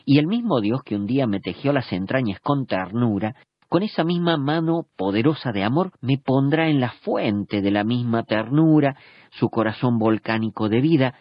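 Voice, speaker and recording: A man reading a solemn, measured religious reflection for radio. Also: medium pitch, animated, no echo. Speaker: radio commentator. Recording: dull, dry radio sound.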